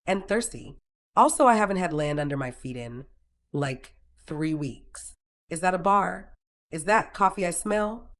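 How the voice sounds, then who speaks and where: A woman's voice: fairly low pitch, animated, measured pace. A woman playing an easygoing, playful pirate drink-maker in an audio drama, casual and breezy, with faint noise behind. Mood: eager.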